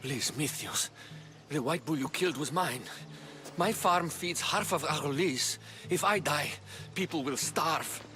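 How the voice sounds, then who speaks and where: A worried bossy farmer begging in a greek game, sharp tense voice, a bit high. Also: male voice, unhurried, faint music behind. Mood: urgent pleading. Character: anxious, self-important male farmer begging for his life. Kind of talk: video game acting.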